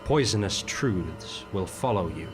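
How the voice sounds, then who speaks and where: A man's voice: sits low, lively intonation. A man giving an ominous, solemn scripted narration. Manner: slow, solemn, intoning. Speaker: show narrator. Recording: over loud music.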